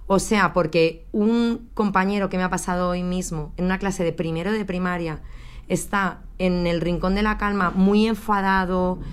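A woman primary school teacher speaking in a heartfelt, measured way in a recorded testimony. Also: lowish voice, fairly even intonation, smooth.

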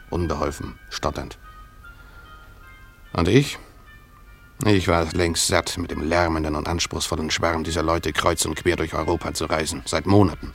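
A brooding former tutor narrating a radio play. His deep, gravelly voice is reflective and halting, over faint music. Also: fairly bright tone, heavily acted. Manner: reflective, halting, self-questioning.

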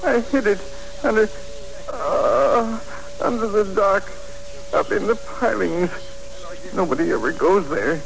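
A man's voice: sits high, creaky, slight background noise, full character voice, low-quality recording. An actor playing a dazed older man dying of a stab wound, rambling in broken, gasping phrases in a 1940s radio drama. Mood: delirious, weak. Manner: halting, broken, gasping delivery. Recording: old 1940s radio recording, faint music.

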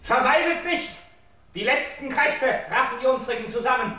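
An actor playing an urgent comrade bringing battle news in a classical radio drama, very echoey and far from the mic. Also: male voice, very high voice, animated, normal speed, sharp tone, exaggerated voice. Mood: alarmed.

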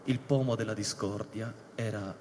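Measured male narrator in long reverb. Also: fairly low pitch, steady tone, a little mellow. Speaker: narrator in a radio play. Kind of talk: scripted dramatic narration. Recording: long reverb, faint ambient noise behind.

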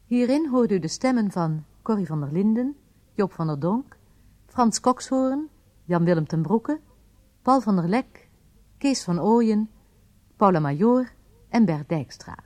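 A woman announcer reading scripted radio credits in a formal, clearly articulated manner. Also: medium pitch, expressive, measured pace, pauses often, dark tone, no echo.

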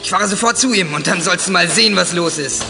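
Fast, high, furious teenage tough. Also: male voice, vocal fry, music in the background. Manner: aggressive, rapid threats. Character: hot-tempered teenage male troublemaker out for revenge. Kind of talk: German youth audio drama acting.

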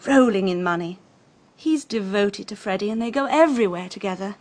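A woman's voice: medium pitch, a little fast, darkish tone, lightly acted. A woman acting in a radio drama as a breezy, flippant young society lady with an upper-class English accent, airy and drawling. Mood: gossipy, offhand. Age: young adult.

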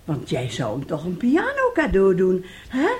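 A woman's voice: fairly low pitch, wildly swooping pitch, normal speed. A domineering mother in a radio drama. Her voice swoops up and down as she wheedles and scolds, full of theatrical self-pity and scheming. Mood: wheedling, self-pitying.

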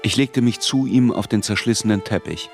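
A man's voice: lowish voice, normal speed, faint music behind, natural voice. An actor playing a reflective narrator who recalls his youth in scripted literary narration for a radio drama. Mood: reflective, descriptive.

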